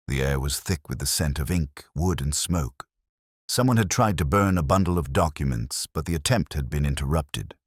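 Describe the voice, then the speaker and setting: A man's voice: extremely low pitch, dry recording, clean studio sound. A man reading scripted mystery narration as an audiobook storyteller.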